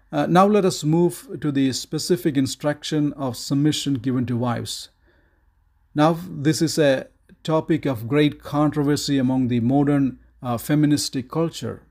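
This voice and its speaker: A middle-aged man teaching a Bible study, measured and deliberate, reading verses aloud with frequent "uh" fillers, recorded indoors close to the camera. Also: a little high, a little mellow.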